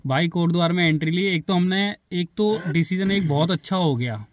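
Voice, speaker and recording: A man's voice: high-pitched, normal speed, no echo. A man vlogging a road trip from his car, chatting casually with his viewers. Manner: chatty, keeps saying "bhai" and "hai na". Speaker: travel vlogger. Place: inside a moving car on the road. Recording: noisy car interior.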